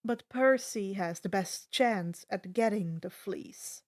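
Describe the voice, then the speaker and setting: A woman voicing a gentle old male centaur mentor who talks in a calm, formal, measured way. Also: mid-range voice, pitched below the performer's own voice, lightly acted. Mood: calm, reassuring.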